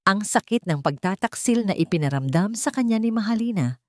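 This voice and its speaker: Filipino woman narrator, deep soft-toned voice, dry close sound, telling a dramatic story. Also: no echo.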